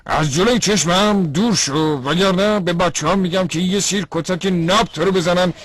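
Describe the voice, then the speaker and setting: A man doing a bullying cartoon banana seller, fairly high voice, dry close sound, threatening, dismissive. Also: dry recording. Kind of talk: dubbed cartoon acting.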